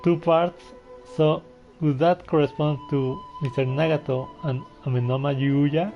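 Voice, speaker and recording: Young male gamer, casual playthrough read, mellow, slightly high, dull mic over faint music. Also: steady tone, unhurried. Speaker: gamer, video host. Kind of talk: casual game playthrough, reading text aloud. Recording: slightly muffled, game music behind.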